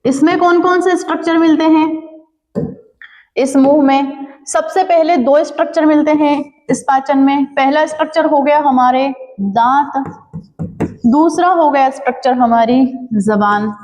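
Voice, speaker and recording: A woman's voice: sits high, measured pace, a little mellow, long reverb tail, poor audio. A woman teaching a lesson, asking the class questions and then answering them herself. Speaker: biology teacher.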